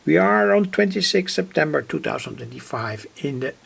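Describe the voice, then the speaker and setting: A man's voice: fairly high pitch, animated, moderate pace, vocal fry, a bit bright, slightly rough. A man giving an informal, hesitant technical demonstration, repeating himself as he explains each step. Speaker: electronics hobbyist presenter.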